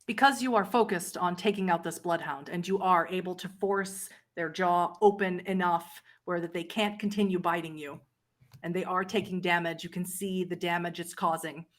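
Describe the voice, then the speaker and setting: A woman's voice: fairly low pitch, fairly even intonation, normal speed. A woman acting as game master, narrating a tabletop roleplaying game, explaining rules and describing scenes to players.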